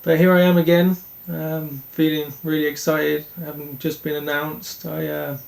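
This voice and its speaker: A young man from Denmark, a speedway rider answering interview questions in an earnest, halting way with many restarts. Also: a little high, completely flat delivery, gravelly, low-quality recording.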